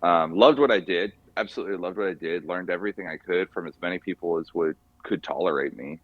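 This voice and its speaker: A man from California, chatting casually and haltingly as an interview guest as he tells his story in an informal, rambling way. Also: sits low, no room sound, narrow, tinny audio. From California, USA. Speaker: podcast guest, car mechanic by trade. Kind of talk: casual chat.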